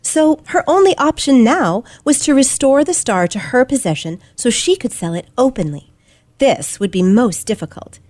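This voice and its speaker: Give the part of a sharp, self-assured teenage girl detective to a woman actor in an old radio mystery. The voice sits fairly high and swings widely for dramatic emphasis. Every phrase is precise and theatrical, in a dry recording. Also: animated, normal speed, no room sound. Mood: triumphant, emphatic. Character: teenage girl detective, confident, sharp, relishing her explanation. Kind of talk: old-fashioned radio play acting.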